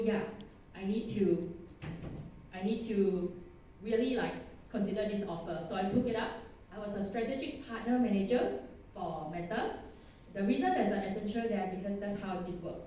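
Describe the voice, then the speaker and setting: A woman's voice: medium pitch, mellow, slight background noise. A woman in her thirties from Thailand with a Thai accent, giving a chatty, self-deprecating conference talk and laughing often. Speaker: conference speaker. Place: conference hall. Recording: echoey hall, distant mic.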